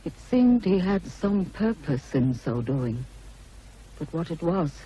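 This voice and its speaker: A performer narrating calmly as a household servant in a radio drama. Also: medium pitch, slow, slight background noise. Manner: calm storytelling. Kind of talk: scripted narration. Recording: dull, lo-fi radio recording.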